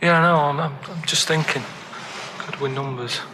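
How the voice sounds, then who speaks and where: A man chatting casually on a radio show, telling odd facts and stories in a halting, earnest, matter-of-fact way. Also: on the high side, noisy background. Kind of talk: casual rambling radio chat and anecdotes.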